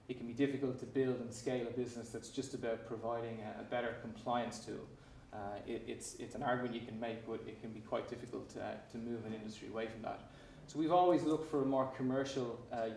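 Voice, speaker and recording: A man with an Irish accent giving a startup pitch, often restarting his sentences around "uh" and repeated words. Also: gravelly, a little mellow. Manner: frequent fillers and repeated restarts. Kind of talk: pitch presentation. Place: conference hall. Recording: echoey hall, distant mic, faint noise.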